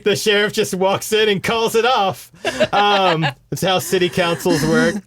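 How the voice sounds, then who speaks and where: A man's voice: high voice, level tone, a little slow, fairly bright tone, dry recording. A man with an American accent chatting casually and wryly as he recaps a book as a podcast co-host. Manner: wry, informal, sarcastic.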